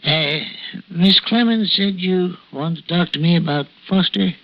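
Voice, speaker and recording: An actor playing a frail, friendly man with a halting, folksy manner in a 1940s radio drama. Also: sits high. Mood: puzzled. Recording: muffled vintage radio, faint noise.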